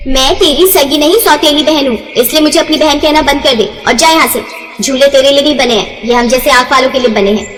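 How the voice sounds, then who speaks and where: A woman's voice: high voice, a little fast, fairly bright tone, echoey room, long reverb tail, soft background music, voice pushed up. A woman voicing a cold, selfish young stepsister who speaks in a dismissive, cutting way, in a moral story. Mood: contemptuous.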